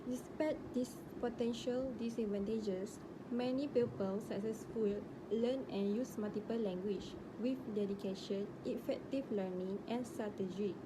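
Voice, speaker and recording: A woman's voice: medium pitch, normal speed. Malaysian woman reading a presentation. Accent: Malaysian English. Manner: steady, read-aloud delivery. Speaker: student presenter. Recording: steady background hiss.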